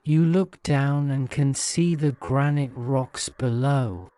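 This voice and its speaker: A man narrating a guided-imagery sleep story in a soothing, measured manner. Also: mid-range voice, warm tone, clean tone.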